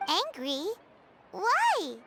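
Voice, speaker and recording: Squeaky, extremely high fairy sidekick. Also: female voice, huge pitch swings, extremely slow, very noisy, exaggerated voice. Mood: surprised, curious. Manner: squeaky, exclamatory, cutesy. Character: tiny childlike fairy companion, cheeky, excitable, naive. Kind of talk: scripted game dialogue acting.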